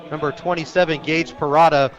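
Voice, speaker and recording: A man working as a radio sportscaster, calling high school football live in clipped, descriptive phrases that turn excited on big plays. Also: brisk, dull audio. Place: outdoor stadium, live radio broadcast.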